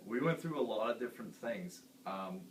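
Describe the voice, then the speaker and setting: Deep-voiced male singer, earnest casual chat, roomy echo, far from the mic, faint hiss. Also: lively intonation, normal speed. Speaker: band singer. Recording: echoey room, distant mic, faint hiss.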